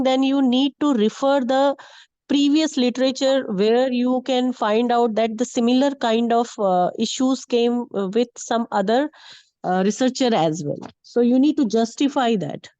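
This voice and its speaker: Hoarse woman, lecturer, high-ish voice, halting with fillers, dry muffled call audio. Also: mellow, no room sound. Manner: hesitant, many fillers. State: hoarse from a heavy cold. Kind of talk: online lecture with Q&A. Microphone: video call.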